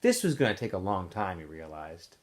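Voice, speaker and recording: Man, teacher, novel read-aloud, relaxed, small slips, lively pitch. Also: a little fast.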